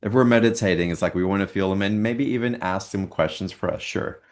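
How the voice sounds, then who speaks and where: Deep-voiced male host, soft and halting. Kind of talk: casual interview chat.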